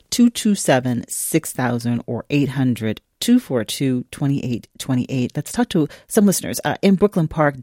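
A woman's voice: low-pitched, darkish tone, dry recording, clean studio sound. A woman hosting a radio call-in show, asking curious questions and bringing in callers. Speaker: radio host. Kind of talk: radio interview.

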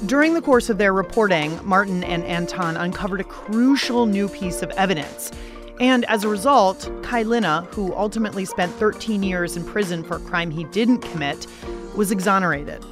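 A woman's voice: mid-range voice, normal speed, over music. A woman with an American accent hosting a radio show, reading scripted narration in a playful, conversational way. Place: dry studio.

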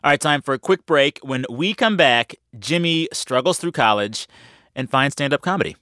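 A man's voice: medium pitch, expressive, moderate pace, bright, forceful tone, no echo, clean studio sound. A man hosting an interview in a casual, chatty way, playful and full of slangy exclamations. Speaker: podcast and radio interview host.

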